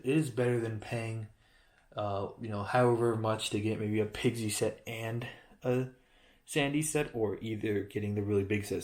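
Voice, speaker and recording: A man's voice: medium pitch, darkish tone. Rambling man reviewing a toy set. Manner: rambling, self-correcting, joking. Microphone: handheld camera close-up of toy.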